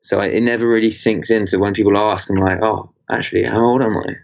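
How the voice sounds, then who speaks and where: A man's voice: lowish voice, fairly even intonation, fast, creaky, dull audio. A man in his twenties from England, a podcast guest talking casually with many fillers and restarts. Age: 20s. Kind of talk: casual interview chat.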